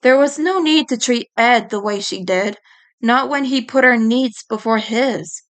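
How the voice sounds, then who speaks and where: A woman's voice: on the high side, a little slow. A woman reading a story aloud as dramatic audiobook narration. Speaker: audiobook reader.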